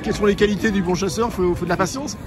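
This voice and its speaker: A high-voiced male reporter fires quick questions from away from the mic. Loud crowd and noise surround him. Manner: brisk questioning. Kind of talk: street interview questions.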